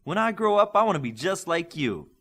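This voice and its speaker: A man's voice: sits high, sing-song, fairly quick, fairly bright tone, pitched above the performer's own voice, heavily acted. A man voicing a sarcastic, teasing young male superhero student in an audiobook.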